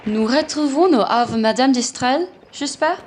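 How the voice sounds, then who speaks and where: A woman's voice: high-pitched, lively intonation, a little slow, a little mellow, slight background noise. A woman playing a prim society lady in a French radio play. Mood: polite.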